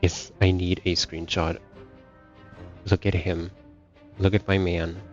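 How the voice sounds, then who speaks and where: A man's voice: sits low, little pitch movement, takes it slowly, faint music behind. A man streaming a game, reacting live in a casual way. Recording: dull lo-fi mic.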